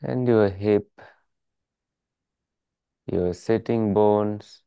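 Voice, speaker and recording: A meditation teacher leading a guided relaxation in calm, measured, soothing instructions. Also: medium pitch, gravelly, clear, resonant tone, no room sound, highs cut off.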